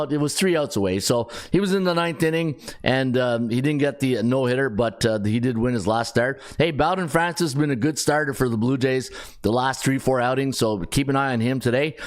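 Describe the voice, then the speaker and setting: A middle-aged man with a raspy, worn voice runs through the day's betting odds in a loose, chatty way. He sits at a mid-range pitch and keeps stopping to correct himself and fill gaps with "uh". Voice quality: chatty, rambling with fillers and self-corrections. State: raspy, strained voice. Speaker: sports betting podcast host. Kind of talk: casual sports betting rundown.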